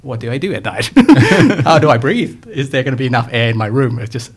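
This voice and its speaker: A young man from Thailand, a podcast guest talking reflectively about his upbringing in a relaxed conversation. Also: mid-range voice, brisk, breathy edge. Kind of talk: casual interview conversation.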